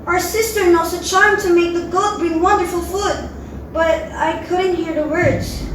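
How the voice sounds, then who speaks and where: A woman's voice: very high-pitched, fairly even intonation, unhurried, pitched above the performer's own voice. A woman playing a bossy, scheming, spiteful sister in a readers theater fairy tale. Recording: very echoey room, distant mic, clear noise.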